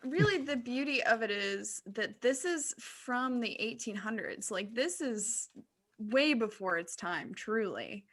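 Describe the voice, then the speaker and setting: A woman with a fairly high, very bright voice. She co-hosts a podcast in a casual, chatty way. Her manner is playful and giggly as she presents. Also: animated, unhurried. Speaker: podcast co-host presenting a slideshow. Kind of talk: casual chat.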